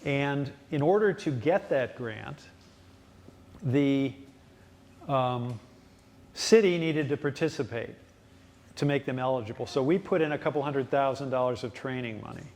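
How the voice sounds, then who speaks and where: A man's voice: mid-range voice, measured pace, long pauses, darkish tone. A man answering residents' questions at a public town hall meeting, explaining at length with frequent fillers and restarts. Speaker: city official at a ward town hall.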